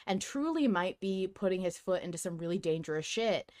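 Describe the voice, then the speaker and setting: A woman's voice: on the low side, expressive, a little fast, a little mellow. A woman speaks as a podcast host in a loose fan discussion. She is emphatic and conversational, and her casual talk is salted with swearing.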